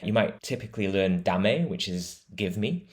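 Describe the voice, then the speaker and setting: Deep-voiced British man, enthusiastic. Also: expressive, normal speed. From Britain. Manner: enthusiastic, laughs easily, explains at length. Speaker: guest travel writer. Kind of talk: conversational interview.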